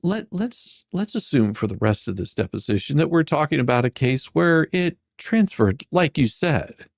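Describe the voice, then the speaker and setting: A performer doing a comedic dramatic reading as a rambling, halting, faux-folksy lawyer questioning a witness in a deposition. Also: medium pitch, sing-song, no room sound. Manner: halting, repetitive, mock-sarcastic, stumbling over questions.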